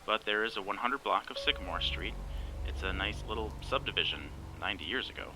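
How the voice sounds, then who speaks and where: An actor playing a sardonic, bossy best friend in a naturalistic audio drama, wry and exasperated. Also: male voice, fairly low pitch, expressive, fairly quick, sharp tone, slight background noise, rough recording. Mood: sardonic, teasing. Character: sardonic, bossy male best friend named Larry, cellphone company worker.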